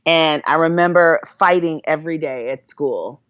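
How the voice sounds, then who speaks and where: A woman's voice: low voice, moderate pace, fairly bright tone, smooth, no room sound, lo-fi sound. A woman from Jamaica, a guest in an interview, talking earnestly and thoughtfully with many fillers and restarts. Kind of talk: reflective conversational storytelling.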